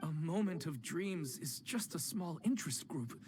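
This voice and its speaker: A man's voice: on the high side, expressive, normal speed, noisy background, close to an everyday voice. A man voicing an anxious, apologetic club organizer in a game, speaking nervously.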